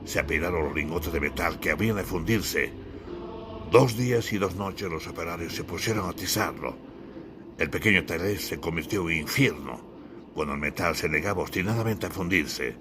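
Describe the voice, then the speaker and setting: A man's voice: low-pitched, normal speed, noisy background. A man from Bolivia narrating his own literary audiobook in a dramatic, theatrical storytelling style. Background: music.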